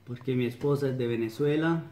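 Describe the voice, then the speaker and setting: A man's voice: medium pitch, a little fast, warm tone, reverberant. A man from Italy speaking Spanish, in short clipped phrases. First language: Italian. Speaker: interviewee. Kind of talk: short recorded self-introduction.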